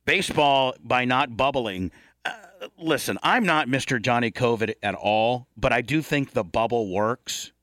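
A man's voice: medium pitch, unhurried, a bit bright, no echo, studio quality. A man hosting a casual sports talk show, bantering in a blunt, joking and exaggerating manner. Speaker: radio show host.

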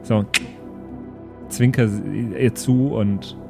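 Mid-pitched male role-play player. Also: steady tone, very slow, dark tone, faint music behind. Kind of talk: casual improvised game talk.

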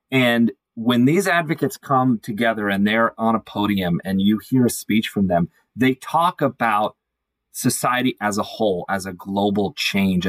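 A man speaking as a podcast guest, thoughtful and measured, building his points in speechlike lists. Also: lowish voice, dry recording, poor audio. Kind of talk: thoughtful conversational discussion.

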